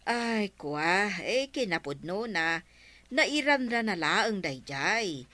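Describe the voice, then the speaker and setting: A woman's voice: medium pitch, very animated, slow, sharp tone, dry recording, full character voice. A woman playing a chatty village woman in an Ilocano comedy radio drama, with an Ilocano accent.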